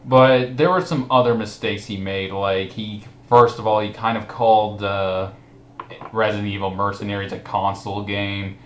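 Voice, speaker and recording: A man's voice: lowish voice, fairly even intonation, moderate pace, fairly bright tone. A man hosting a casual group commentary chat, talking informally with friends. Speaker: host. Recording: roomy echo with some background noise.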